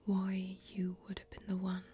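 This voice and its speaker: A young woman performing a fantasy ASMR role-play as a solemn warrior, speaking slowly and deliberately in a hushed, intimate way. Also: medium pitch, monotone, faint music behind. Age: young adult. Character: female fantasy warrior, solemn, earnest, mysterious. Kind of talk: soft-spoken whispered ASMR role-play. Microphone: close microphone.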